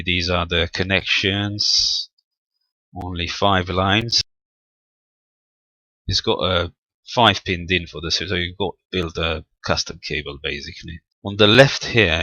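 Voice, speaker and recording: A man with a low, bright-edged voice talks through a technical demonstration. He explains methodically and haltingly, feeling his way through each step without a script. The sound is close and dry. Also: no echo. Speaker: amateur radio hobbyist presenting equipment. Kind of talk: unscripted screen-recorded technical walkthrough.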